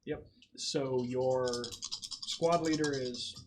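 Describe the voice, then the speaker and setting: A man's voice: mid-range voice, fairly even intonation, slow pace. Male game master in a noisy room. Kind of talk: casual narration of a tabletop game. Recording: noisy room recording with clicks.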